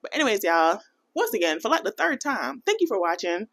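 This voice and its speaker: Animated, laughing American woman vlogger. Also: medium pitch, a little fast. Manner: animated, laughing, playful asides to viewers; slips into a Jamaican accent for bits. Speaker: budgeting vlogger. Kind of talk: casual chatty vlog.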